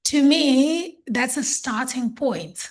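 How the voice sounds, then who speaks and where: A woman's voice: high voice, animated, slow. A woman speaking confidently and emphatically as an executive on a forum panel.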